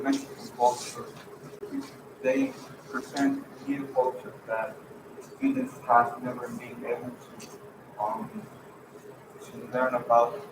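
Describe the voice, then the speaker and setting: Slow, hesitant teenage boy from Peru. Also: mid-range voice. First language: Spanish. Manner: slow and hesitant, many fillers. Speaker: student speaker. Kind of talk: prepared remarks at a public meeting. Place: school board meeting room. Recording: echoey meeting room, distant mic, background noise.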